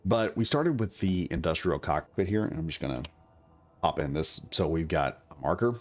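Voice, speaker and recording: A man's voice: deep voice, lively intonation, normal speed. A man recovering from a cold, coughing now and then, giving a rambling, chatty commentary on a game build. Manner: rambling, chatty, self-correcting. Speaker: gaming video creator.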